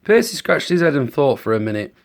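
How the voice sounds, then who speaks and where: Female bedtime storyteller, very deep for a woman, animated and patient, reading to a child. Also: a little fast.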